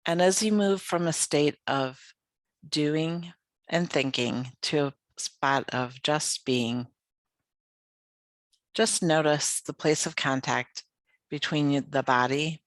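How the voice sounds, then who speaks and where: A meditation guide leading a yoga nidra practice in a soothing, unhurried, gently inviting way with pauses. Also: mid-range voice, no echo.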